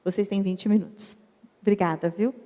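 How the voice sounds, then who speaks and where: A Brazilian woman moderates a conference panel, speaking with cordial courtesy. Her voice is mellow and dark-toned, and it carries into a large hall with a long reverb. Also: medium pitch, fairly even intonation, normal speed. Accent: Brazilian Portuguese.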